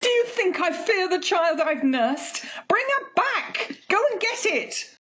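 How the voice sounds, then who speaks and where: A woman's voice: very high voice, big pitch swings, slow pace, fairly bright tone, muffled recording, exaggerated voice. A woman playing a grief-maddened, obsessive elderly mother in an amateur radio play, mournful and insistent. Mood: obsessive demanding.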